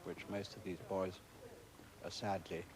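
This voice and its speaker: Man, British accent, lowish voice, school principal, formal interview, measured earnest phrasing. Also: flat delivery, over a noisy crowd, poor audio.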